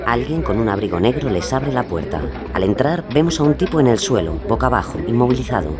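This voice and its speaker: A man's voice: mid-range voice, lively intonation, fast, crowd noise. A man giving scripted narration for a documentary-style podcast.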